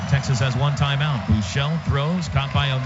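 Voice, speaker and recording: An American man doing live play-by-play football commentary on the radio, with excitable, dramatic phrasing. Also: medium pitch, normal speed, over music, crowd noise. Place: stadium radio broadcast booth. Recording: dull lo-fi radio broadcast, loud stadium noise. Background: loud outdoor stadium noise.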